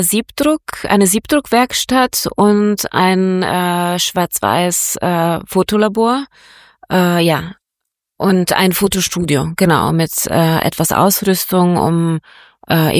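A woman's voice: on the low side, unhurried, no room sound, clean studio sound. A woman from Italy, a photographer, talking casually and hesitantly as an interview guest.

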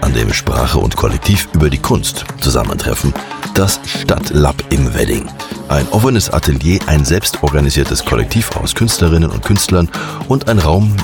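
A man with a very deep voice hosts a radio feature, his pitch moving with lively rises and falls. Clear music plays beneath his scripted, inviting narration. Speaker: radio show host.

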